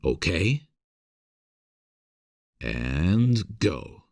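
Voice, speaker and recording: A man playing a gentle, earnest theatre director in love, in an intimate ASMR romance roleplay, speaking in a tender, confiding, hesitant way with a husky voice. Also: sits low, big pitch swings, drawn-out words, no echo, clean studio sound, full character voice. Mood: instructive, encouraging.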